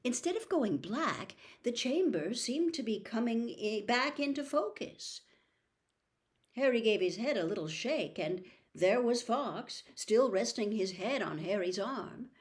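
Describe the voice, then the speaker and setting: Slow, dramatic woman storyteller. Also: on the high side. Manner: expressive, suspenseful phrasing with dramatic pauses. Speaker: audiobook reader, host.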